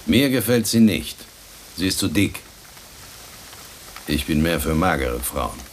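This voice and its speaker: A deep-voiced, irritable man in a radio drama. He is curt, cocky and dismissive, a surly ladies' man. A faint noise runs behind him. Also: very animated, slow, full character voice. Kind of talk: German radio play acting.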